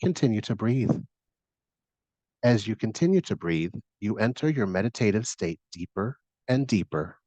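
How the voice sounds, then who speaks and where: A man narrating a scripted guided meditation as the guide, giving instructions in a soothing, measured manner. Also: on the low side, sing-song, warm tone, no room sound, poor audio.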